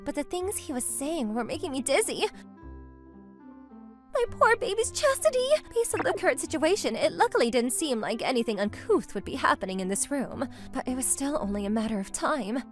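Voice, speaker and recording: A woman acts out a possessive young woman besotted with her childhood friend. Her voice is high and swings widely as she gushes through manga dub narration, melodramatic and fiercely devoted. Music plays underneath. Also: sing-song, a little fast. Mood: shocked, protective. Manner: melodramatic, gushing.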